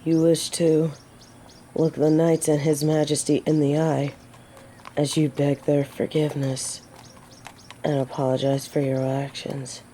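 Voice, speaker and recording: A woman's voice: low voice, flat delivery, measured pace, dark tone, a little hiss or hum. A woman playing a gallant, teasing yet earnest female knight in a romantic fantasy audio drama, with formal, old-fashioned phrasing. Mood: earnest, admiring.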